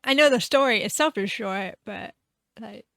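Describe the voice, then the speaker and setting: A woman's voice: mid-range voice, normal speed, vocal fry, no echo. A woman hosting a gaming video, giving casual playthrough commentary. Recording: loud music behind the voice. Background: game music.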